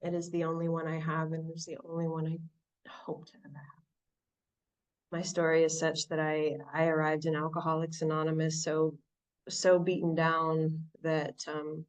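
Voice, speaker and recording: A low-pitched American woman shares her recovery story at an online meeting, thoughtful and halting with frequent restarts. She sounds set back from the microphone, a little distant in the recording. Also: completely flat delivery, a little mellow. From Southern California. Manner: reflective, halting, many restarts and fillers. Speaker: speaker sharing at a recovery meeting.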